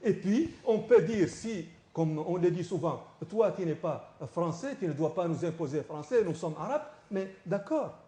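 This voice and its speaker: A professor from Mauritania arguing as a guest on a TV panel debate, stumbling through repeated restarts. His voice sits fairly high yet sounds mellow and dark. The studio around him is echoey. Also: lively intonation. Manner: halting, many repeated restarts.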